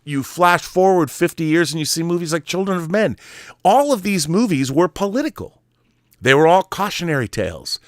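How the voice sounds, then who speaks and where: A man's voice: fairly high pitch, no echo. Rambling middle-aged American man, close dry sound. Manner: rambling, stammering, repeats words; emphatic, rhetorical questions. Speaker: talk show host. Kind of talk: casual opinionated monologue about films and society.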